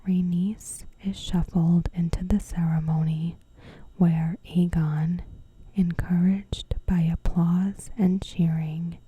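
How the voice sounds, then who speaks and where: A woman narrating a scripted recap in ASMR style, in a soft, whispery, breathy voice with gentle, deliberate short phrases. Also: on the low side, slight background noise, rough recording. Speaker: ASMR creator recapping a TV episode.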